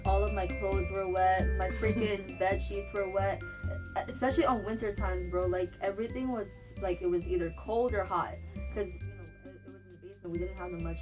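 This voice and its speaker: A young woman from the Philippines, chatting casually as a podcast co-host and telling stories with slang and lots of fillers. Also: medium pitch. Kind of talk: casual chat.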